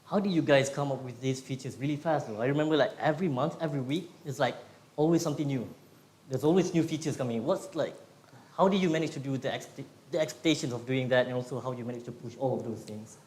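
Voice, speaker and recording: A man's voice: medium pitch, fast talker, a little mellow. Man moderating a Q&A in an echoey room. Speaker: event moderator. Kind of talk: hosting a Q&A. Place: meetup event room.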